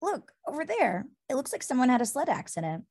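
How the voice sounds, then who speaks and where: A woman playing an earnest, pure-hearted young hero in an animated fantasy table read. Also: sits high, brisk, creaky, full character voice. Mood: alert.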